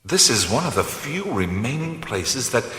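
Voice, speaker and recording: An actor playing a weary, reflective adventurer in a radio play, speaking slowly and often in a hush. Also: male voice, medium pitch, sing-song, husky, long reverb tail, full character voice. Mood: brooding. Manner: slow, reflective, often hushed. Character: wry, weary adventurer-mystic man. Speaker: lead character.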